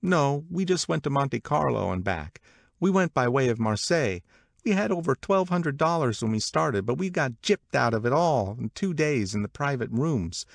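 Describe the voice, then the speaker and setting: Guy doing a chatty worldly young woman for an audiobook, high lilting voice, excitable, spilling secrets. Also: normal speed, vocal fry, no room sound, clean studio sound. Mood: animated gossip. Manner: confiding, excitable, emphatic. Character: gossipy, worldly young woman, eager and confiding. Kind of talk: audiobook character dialogue.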